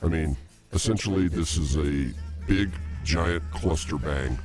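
A man's voice: very deep voice, a little slow, darkish tone, faint music behind. A man co-hosting a comedy podcast, dry and laid-back. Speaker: co-host. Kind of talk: casual chat.

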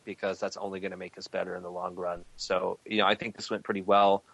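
Male podcast host, loose and rambling, bright tone, dry sound. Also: sits low, level tone, fast talker, gravelly, no room sound, muffled recording. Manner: loose, rambling, many fillers. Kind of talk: casual podcast chat.

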